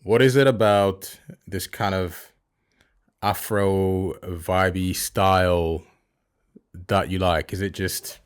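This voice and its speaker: Low voiced dude hosting, chill and friendly, says bro a lot. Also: male voice, steady tone, slow pace, clean tone. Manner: friendly, calls guest bro. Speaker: host. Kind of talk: casual interview chat.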